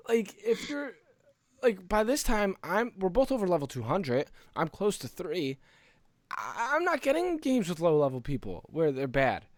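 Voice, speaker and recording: A man's voice: sits high, dry recording, clean studio sound. A man co-hosting a casual gaming podcast, talking in a conversational, opinionated way as he explains his views. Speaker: podcast co-host.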